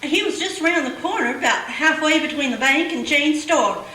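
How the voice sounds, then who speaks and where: A woman's voice: high voice, lively intonation, normal speed, bright, forceful tone. A woman playing a flustered, apologetic small-town woman bringing the sheriff news. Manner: hesitant, apologetic. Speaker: townswoman Bonnie Hopkins. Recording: roomy echo, a bit distant, faint hiss.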